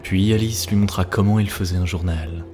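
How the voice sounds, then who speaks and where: Male narrator, deep voice, scripted storytelling, clear music behind. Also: fairly even intonation, brisk, dark tone.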